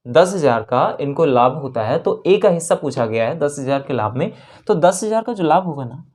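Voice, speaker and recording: A woman's voice: low voice, darkish tone. A woman with an Indian accent teaching a maths lesson step by step, repeating phrases and posing questions as she explains.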